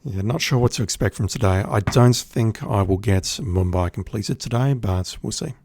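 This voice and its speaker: Aussie guy chatting thru a game stream, lowish gravelly voice, laid back and a bit wry. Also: moderate pace, darkish tone, no room sound, clean studio sound. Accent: Australian. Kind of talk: casual gameplay commentary.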